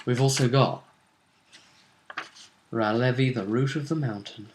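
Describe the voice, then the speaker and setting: A man hosting a tabletop roleplay vidcast, recapping fights in a casual but dramatic storytelling way with emphatic pauses. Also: mid-range voice, noisy background.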